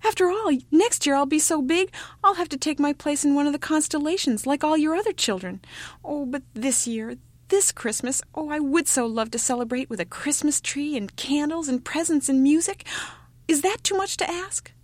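A woman's voice: sits high, normal speed, dry recording, voice pushed up, natural voice. A woman voicing a young star child, a little boy who pleads eagerly and wistfully. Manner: pleading, wistful, breathlessly earnest.

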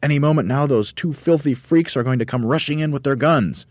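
A man voicing an excitable, bossy monkey leader in a storybook, shouting urgent commands. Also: deep voice, a little fast, no room sound, voice pushed up, natural voice. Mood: frantic, urgent.

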